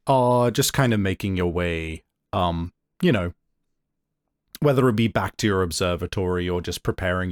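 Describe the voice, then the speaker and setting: A man narrating a tabletop game as game master, improvising and thinking aloud in a halting way. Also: on the low side, a little mellow.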